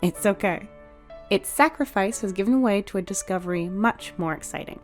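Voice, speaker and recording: A woman's voice: mid-range voice, a little fast. A woman reading a scripted, dramatic story as its narrator. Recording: music behind the voice. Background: music.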